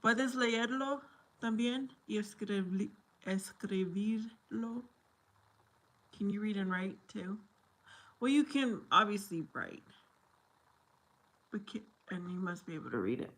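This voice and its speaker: Slow-talking woman, easygoing. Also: medium pitch, lively intonation, fairly bright tone. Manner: unhurried, chatty, mixes in Spanish phrases. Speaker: livestream host. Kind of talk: casual livestream chat with viewers.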